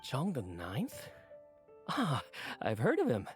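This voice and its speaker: A man playing a courteous, earnest book editor in a video game, explaining things in a measured, formal way. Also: medium pitch, wildly swooping pitch, faint music behind. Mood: earnest, explanatory. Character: polite, earnest, wordy male book editor. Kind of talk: video game character dialogue.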